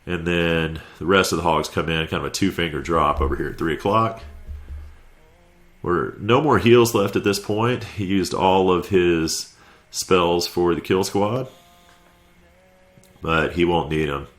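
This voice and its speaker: A man's voice: low-pitched, a little slow. A man with a stuffy head cold giving laid-back, conversational play-by-play commentary over a video game recap. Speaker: clan war recap commentator.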